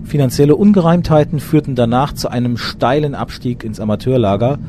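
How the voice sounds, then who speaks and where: A man in the middle of the pitch range narrates a scripted documentary report, reading dates and facts in a measured, matter-of-fact way. The recording is noisy, with traffic sound running clearly behind the voice. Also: warm tone.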